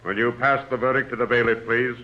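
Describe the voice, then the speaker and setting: A man's voice: medium pitch, steady tone, fast talker, natural voice. Man as a stern judge, muffled old radio. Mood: formal. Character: stern formal male courtroom judge. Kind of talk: 1940s radio acting. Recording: dull old radio recording.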